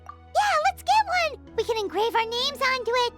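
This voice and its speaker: A woman voicing a small, cheerful, eager fairy companion with a squeaky childlike voice in a video game. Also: big pitch swings, moderate pace, faint music behind. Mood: excited. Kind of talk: animated video game dialogue.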